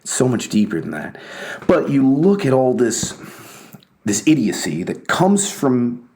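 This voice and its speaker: A man talking off the cuff in a rambling, halting way, full of fillers and restarts, with a wry self-mocking streak. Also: mid-range voice, very animated, a little mellow. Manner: wry, laughs at himself. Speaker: commentator on books and ideas. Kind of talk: casual monologue.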